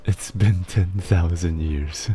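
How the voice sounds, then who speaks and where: Deep-voiced man chatting on stream. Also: slow pace, mellow, faint music behind. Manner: rambling, self-deprecating, laughs often, reads chat aloud. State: slightly slurred speech from a healing tongue injury. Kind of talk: casual chat stream.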